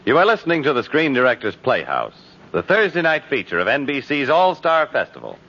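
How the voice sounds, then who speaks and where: A man's voice: mid-range voice, huge pitch swings, a little fast, fairly bright tone. A man announcing and narrating from a script in polished 1950s radio style. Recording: muffled old radio recording with music.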